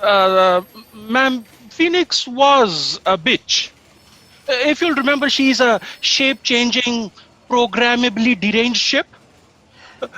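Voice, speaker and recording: An actor playing a nervous but cheeky office underling in a sci-fi satire, hesitant and stammering. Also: male voice, very high voice, sharp tone, full character voice, poor audio. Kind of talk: sci-fi satire audio drama.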